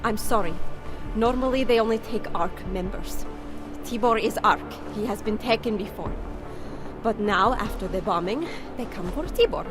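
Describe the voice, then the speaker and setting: An actress playing a bitter, distraught wife in a video game, with an accusing, wounded delivery. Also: female voice, mid-range voice, animated, normal speed, over music, natural voice. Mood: distraught, pleading. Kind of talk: video game cutscene acting.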